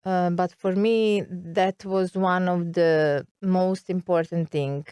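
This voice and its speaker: Slow, hesitant Serbian-accented woman. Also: lowish voice, steady tone, warm tone, clear, resonant tone, no echo. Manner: hesitant, searching for words with many fillers. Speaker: guest. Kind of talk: interview conversation.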